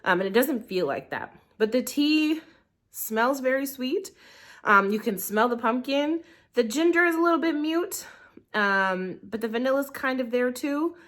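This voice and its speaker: A woman's voice: sits high, expressive, moderate pace, fairly bright tone. A congested young woman reviewing a tea in her living room, sounding a little nasal and talking casually with lots of ums. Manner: chatty, rambling, many ums. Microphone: camera in portrait mode.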